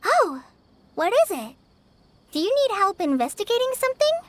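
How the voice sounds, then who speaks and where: A woman voicing a shy, secretive young woman who speaks timidly with halting pauses. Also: very high voice, big pitch swings, loud music behind the voice. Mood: eager.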